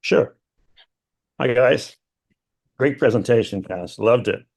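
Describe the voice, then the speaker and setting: A tired man with a raspy voice asking a rambling, friendly question on a video call. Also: mid-range voice, takes it slowly, a little mellow. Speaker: audience member asking a question.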